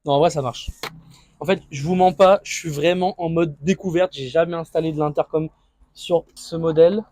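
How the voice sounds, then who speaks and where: A man's voice: a little high, steady tone, moderate pace, a little mellow, a little hiss or hum. A man speaking informally as a vlogger, thinking aloud through an improvised unboxing and installation commentary. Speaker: motorcycle vlogger.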